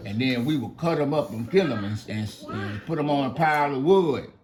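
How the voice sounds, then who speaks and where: A man's voice: medium pitch, animated, fairly quick, a little mellow, natural voice. A man with an American accent playing a confident prophet who issues an earnest, colloquial challenge in a live Bible story. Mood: challenging.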